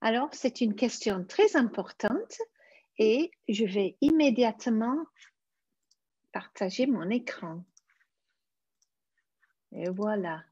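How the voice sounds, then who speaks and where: A woman with a slightly high, husky voice gives a live online lesson at an unhurried, measured pace. The sound is dry and a little dull, like a home computer mic on a stream. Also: animated, a little mellow, no echo. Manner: slow, deliberate teaching with careful examples. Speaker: French teacher.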